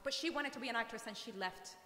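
A woman's voice: fairly high pitch, fast talker, bright, forceful tone. A young woman with a Puerto Rican accent, an opera singer chatting about her work and introducing her pieces. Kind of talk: casual interview talk and song introductions. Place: large wood-panelled hall with organ. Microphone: standing studio mic. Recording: echoey hall with long reverb.

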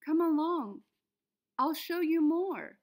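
A woman voicing a friendly, eager mouse in a children's storybook, with an inviting, excitable manner. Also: extremely high pitch, slow, pitched above the performer's own voice, full character voice. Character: eager, friendly male mouse showing off a house.

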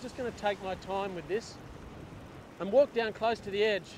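A man's voice: high voice, moderate pace. A middle-aged man explaining step by step how he prepares bait and fishes from a beach, on a lapel mic outdoors. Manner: steady step-by-step explaining while working. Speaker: fishing instructor. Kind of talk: hands-on fishing tutorial. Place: open beach by the surf. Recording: wind and surf noise on lapel mic.

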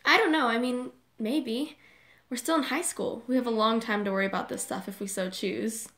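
Upbeat, kind high school girl played by a woman, higher voice, audio drama role. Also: moderate pace, a bit bright, natural voice. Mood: relaxed, supportive. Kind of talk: amateur audio drama acting.

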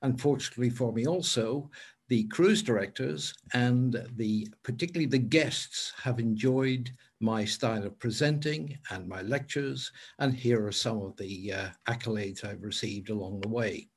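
An older man with a measured, formal manner, presenting his own cruise lecturing work over a webcam. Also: mid-range voice, breathy edge.

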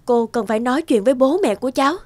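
Woman acting a high-voiced, caring psychologist. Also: very fast, no room sound, natural voice. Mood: concerned. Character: caring female child psychologist, a worried single mother. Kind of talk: cartoon dubbing. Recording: clear music behind the voice.

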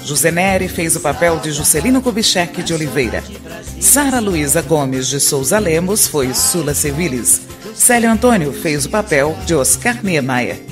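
A woman narrating a radio drama in a steady, informative way. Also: low voice, normal speed, music in the background.

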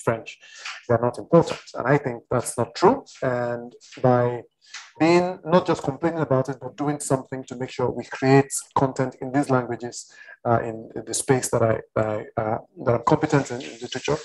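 A man's voice: medium pitch, darkish tone, low-quality recording. A man, a Yoruba speaker, talking as a panelist on a video call, earnest and hesitant, repeating words as he thinks. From Nigeria. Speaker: panelist, writer and research fellow. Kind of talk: casual panel discussion.

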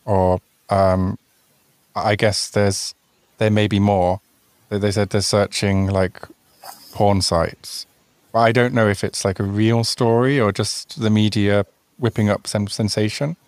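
A man's voice: on the low side, fairly even intonation, a little slow, darkish tone, no echo. A man hosting an interview, asking thoughtful, reflective follow-up questions in a conversational way. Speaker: interviewer and channel host.

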